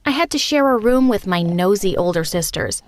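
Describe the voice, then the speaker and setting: A young woman giving a scripted first-person narration of an animated story, in an expressive, confiding storytelling manner. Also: medium pitch, brisk.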